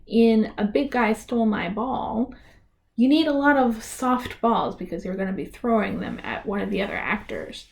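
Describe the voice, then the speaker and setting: A woman giving an instructional presentation for families, speaking with clear, deliberate enunciation. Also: fairly high pitch, darkish tone. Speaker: presenter of a library reader's theater tutorial.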